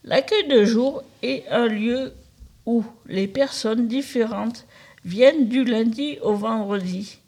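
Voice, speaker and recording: A woman in her fifties reading a prepared text aloud in a halting, word-by-word way. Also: fairly high pitch, darkish tone, breathy edge. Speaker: radio show participant.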